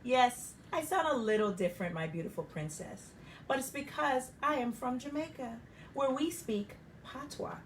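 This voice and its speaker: A woman's voice: high voice, lively intonation, slow, hardly any pauses, bright, forceful tone, far from the mic, a little hiss or hum, exaggerated voice, rough recording. Woman playing a friendly welcoming uncle. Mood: reassuring. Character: friendly, welcoming middle-aged island uncle.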